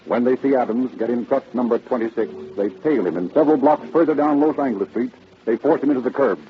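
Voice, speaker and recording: A man's voice: mid-range voice, fast. A man narrating a crime drama from a script in 1930s radio style. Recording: dull old radio recording with music.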